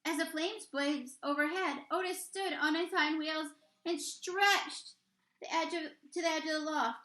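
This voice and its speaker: A woman reading a children's picture book aloud expressively, with deliberate, dramatic emphasis on key words. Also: high voice, steady tone, sharp tone, echoey room. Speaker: read-aloud storyteller, teacher reading to children.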